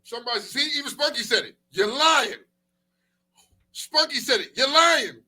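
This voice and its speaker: A man co-hosting a casual talk show with profane, slangy, teasing banter, animated and repeating himself for emphasis. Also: very high voice, normal speed.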